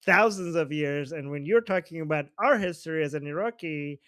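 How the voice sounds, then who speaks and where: A man's voice: a little high, fairly quick, fairly bright tone, no echo, clean studio sound. A man from Iran hosting a casual political discussion, joking and teasing, laughing often. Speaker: host.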